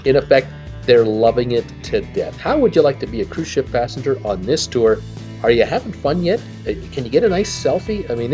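A man's voice: medium pitch, darkish tone. A man giving chatty, folksy commentary to viewers over travel footage. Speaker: cruise travel vlogger. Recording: slightly dull sound with faint music behind.

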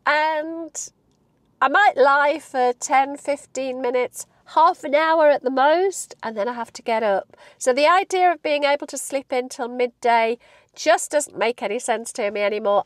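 Croaky chatty woman in her fifties. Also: sits high, no echo, studio quality. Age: 50s. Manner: chatty, animated, laughs often. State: croaky voice from a cold. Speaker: vlogger. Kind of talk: casual talk to camera. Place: parked car, indoors. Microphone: lapel mic.

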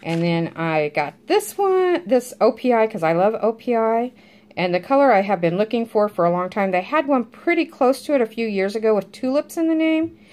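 A woman's voice: on the high side, expressive, moderate pace, a little mellow. A middle-aged American woman chatting casually and informally, like a home vlogger talking viewers through her shopping. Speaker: vlogger showing a grocery haul. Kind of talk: casual chat to camera. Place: indoors at home, at a table. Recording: clear background noise, crinkling packaging. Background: crinkling bags and handling clicks.

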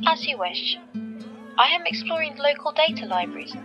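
A woman's voice: sits high, lively intonation, moderate pace, highs cut off, over music. A woman playing a polite, dutiful artificial companion in an audio drama, speaking formally and precisely.

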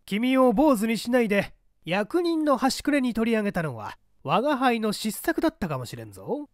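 A man acting a pompous, animated bureau chief. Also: moderate pace, no room sound, clean studio sound. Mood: teasing, patronizing. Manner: grand, patronizing, booming. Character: hearty, pompous older male bureau chief, scornful and amused. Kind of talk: audiobook character acting.

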